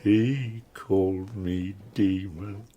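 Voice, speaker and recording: An actor playing a tormented, man-made creature in an audiobook drama, menacing and mournful, gravelly and breaking into harsh whispers. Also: low voice, fairly even intonation, very slow, dark tone, muffled recording, a little hiss or hum. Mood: bitter, mournful. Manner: grave, anguished declaiming.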